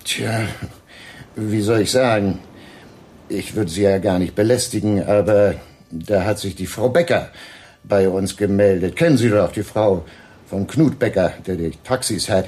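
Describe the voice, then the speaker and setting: An actor playing a folksy, apologetic small-town police sergeant in a radio drama, with a hesitant, roundabout way of talking. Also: male voice, lowish voice, a little slow, darkish tone, natural voice. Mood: hesitant, probing. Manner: hesitant, roundabout, colloquial, chatty.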